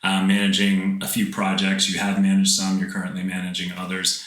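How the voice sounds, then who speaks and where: Guy cohosting a chatty podcast, lowish voice, bright tone, kinda echoey room. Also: monotone, a little fast. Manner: jokey, rambling, self-interrupting. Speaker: podcast co-host. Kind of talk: casual podcast chat.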